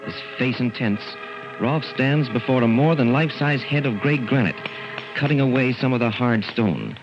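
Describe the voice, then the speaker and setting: A man narrating in 1940s radio horror style as announcer, ominous, deliberate and portentous. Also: medium pitch, lively intonation. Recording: muffled old radio recording. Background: dramatic music.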